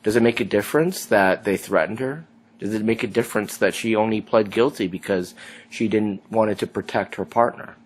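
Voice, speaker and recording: A male instructor with a low, husky voice poses question after question to his students. He talks through the case in a calm lecturing tone, prompting them to weigh each detail. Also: normal speed. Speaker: law instructor. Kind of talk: lecture commentary.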